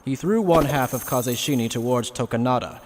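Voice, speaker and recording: A man's voice: mid-range voice, fairly quick. A man reading scripted, dramatic third-person narration for an anime fan dub. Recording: reverberant, slightly noisy recording.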